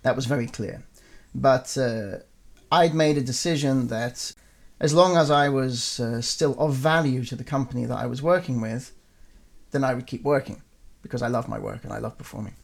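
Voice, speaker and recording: A man in his forties speaks in a gravelly, slightly rough voice at a middle pitch. His talk is relaxed and reflective, full of fillers and restarts as he thinks aloud. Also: normal speed. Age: 40s. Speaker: interviewee, performer. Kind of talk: reflective conversational interview.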